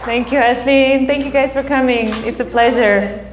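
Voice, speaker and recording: A woman in her forties with a Bulgarian accent, a psychotherapist giving a casual public talk, animated and laughing often. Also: high voice, over a noisy crowd, very noisy. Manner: animated, laughs often, quick bursts of excitement.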